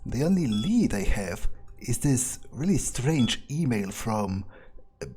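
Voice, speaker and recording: A man playing a cold, vengeful vampire obsessed with his authority, improvising deliberately and wryly in character for a game playthrough. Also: medium pitch, big pitch swings, dark tone, soft background music. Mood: cold, scheming. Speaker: gameplay roleplayer.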